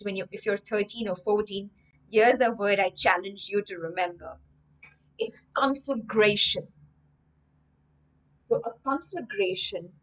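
A woman's voice: mid-range voice, poor audio. A young woman tells a story to children as an English teacher, emphatically repeating words with synonyms. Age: young adult. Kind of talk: storytelling vocabulary lesson for children. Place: indoor room with bookshelves.

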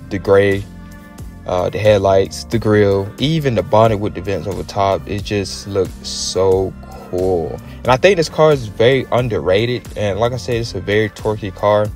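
A man's voice: on the low side, darkish tone. An American man hosting a car channel, giving enthusiastic, slangy, casual commentary as a voice-over. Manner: enthusiastic, informal slangy talk. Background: background music.

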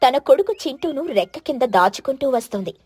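A woman's voice: high-pitched, lively intonation, brisk, darkish tone, slightly rough, no room sound. A woman storyteller reading a scripted children's moral story as narration.

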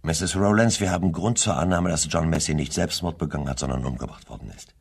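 An actor playing a probing, deliberate police investigator in a German crime radio play, questioning in a measured, formal way with a gravelly voice. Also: male voice, extremely low pitch, heavily acted. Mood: pressing.

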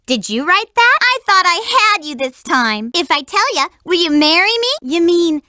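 A woman voicing a cute, energetic young girl hedgehog for a video game, sweet but feisty and lovestruck, with a perky, emphatic girlish delivery. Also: very high-pitched, moderate pace, dry recording, studio quality, full character voice.

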